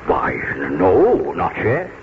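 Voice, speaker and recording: An actor playing a kindly, dignified older college president in 1940s radio drama, speaking in a measured, oratorical way. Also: male voice, sits low, wildly swooping pitch, background noise, heavily acted. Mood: gentle, tactful. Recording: dull vintage radio broadcast, loud music.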